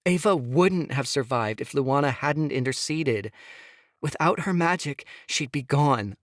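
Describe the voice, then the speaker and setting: Male reader doing young mother, pleading and tearful, raised pitch, straining. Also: lively intonation, a little slow, no room sound, clean studio sound.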